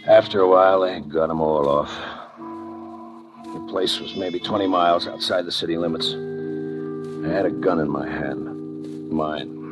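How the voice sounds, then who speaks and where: An actor playing a tough, sardonic homicide lieutenant in a 1950s radio crime drama, clipped and deadpan. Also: male voice, low voice, a little slow, long pauses, over music. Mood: grim narration. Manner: clipped, hard-boiled, deadpan sarcasm. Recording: dull, muffled 1950 radio recording.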